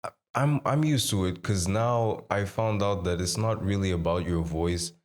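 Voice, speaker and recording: Very deep-voiced young man. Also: normal speed, a little mellow. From Mozambique. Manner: informal, repeats phrases for emphasis. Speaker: singer, podcast guest. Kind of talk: casual chat.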